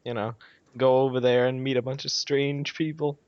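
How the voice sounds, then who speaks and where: A man speaks casually as a podcast host, guiding the chat along. His pitch is average. The recording has no room sound and is a little muffled and lo-fi. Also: flat delivery, normal speed, smooth, no echo. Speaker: podcast host steering topics.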